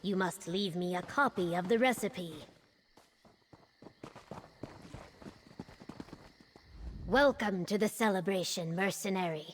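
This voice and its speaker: An actress playing a dignified, proud warrior leader in a video game, with formal, deliberate phrasing. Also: female voice, on the low side, slight background noise, natural voice. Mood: welcoming. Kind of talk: video game dialogue acting.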